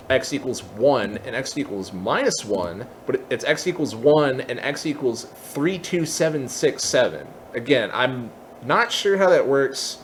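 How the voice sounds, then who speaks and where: A man presenting an informal software tutorial, thinking aloud and openly admitting when he is unsure of details. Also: medium pitch, a little hiss or hum.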